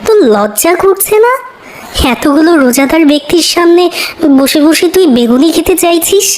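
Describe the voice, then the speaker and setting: A woman puts on an extremely high-pitched little brother for a cartoon. He is cheeky and indignant, complaining and taunting like a born tattletale. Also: animated, moderate pace, darkish tone. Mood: indignant, mocking. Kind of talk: children's moral cartoon dub.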